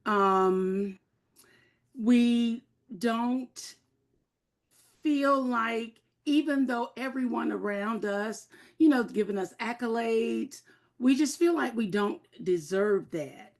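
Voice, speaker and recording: An older woman, a life transitions coach, speaking slowly and carefully on a panel. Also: a little high. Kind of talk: panel discussion.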